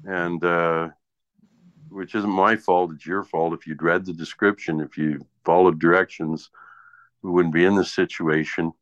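Deep-voiced, blunt man. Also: level tone, measured pace, fairly bright tone. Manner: blunt, grumbling, profane. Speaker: small business owner and online seller. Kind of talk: casual chat. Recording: dull lo-fi sound in echoey room.

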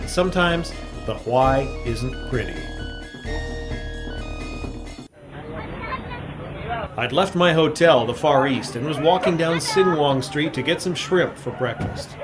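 A man's voice: on the high side, natural voice. An actor playing a hard-boiled, sly American freelance newspaperman, with a clipped, wry delivery in an old-time radio drama. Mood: wry narrating. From United States, San Francisco. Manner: clipped, wry, world-weary storytelling. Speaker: lead actor and narrator. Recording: vintage radio broadcast.

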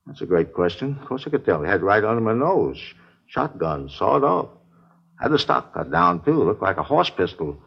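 A muffled vintage radio drama recording features an American store manager. His voice is lowish and rough around the edges. He talks on in a folksy, slightly exasperated way. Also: big pitch swings, normal speed, heavily acted. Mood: testy. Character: talkative, testy male supermarket manager, robbery victim. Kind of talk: 1950s radio drama acting, naturalistic.

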